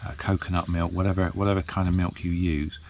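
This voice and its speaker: A man in his fifties, chatting casually to the camera outdoors in a field, relaxed and rambling with plenty of ums. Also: deep voice, quick pace, vocal fry, lo-fi sound, slight background noise. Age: 50s. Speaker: vlogger. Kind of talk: casual vlog chat.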